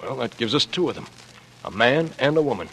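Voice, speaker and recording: A man's voice: medium pitch, expressive, brisk, fairly bright tone. An actor playing an anxious, earnest young American man turned amateur sleuth in 1940s radio drama, speaking in terse, clipped deductive phrases. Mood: determined. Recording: noisy old radio drama recording.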